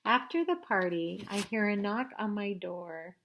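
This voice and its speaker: A woman's voice: mid-range voice, expressive, a little slow, a bit bright, slight background noise. A woman reading a picture book aloud to children, narrating expressively and turning to listeners with asides and questions.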